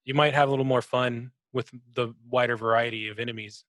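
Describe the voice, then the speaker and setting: A man with a medium-pitched voice gives casual, analytical gaming talk as a co-host. His delivery is halting as he searches for words, and the sound is close and dry. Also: fairly even intonation, fairly bright tone, no echo. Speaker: podcast co-host. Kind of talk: casual analytical chat about a video game.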